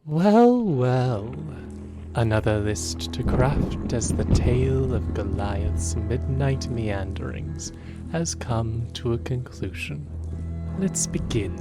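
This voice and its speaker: A man's voice: medium pitch, animated, slow, loud music behind the voice. A man playing a sinister, gloating villain who savors each name on a list with theatrical menace. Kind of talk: theatrical horror credits reading.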